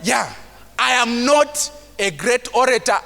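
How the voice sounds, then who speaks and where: High, bright-voiced man speaking in a hall. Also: expressive, takes it slowly, gravelly. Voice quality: mild stammer. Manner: preachy, rising to shouts for emphasis; acts out timid voices of self-doubting people. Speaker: motivational speaker. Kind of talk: motivational talk to a live audience. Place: large conference hall. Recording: long hall reverb.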